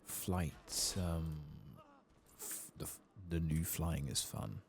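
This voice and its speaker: Very low male voice, hushed and soft-spoken, unhurried ASMR game commentary, faint background noise. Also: gravelly. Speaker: host of a gaming playthrough channel.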